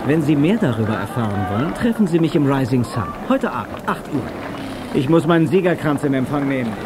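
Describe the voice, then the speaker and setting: An actor playing a cocky, self-assured young hero in an audio drama. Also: male voice, mid-range voice, big pitch swings, a little fast, a crowd in the background, noisy background, close to an everyday voice. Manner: cool, casual, self-assured.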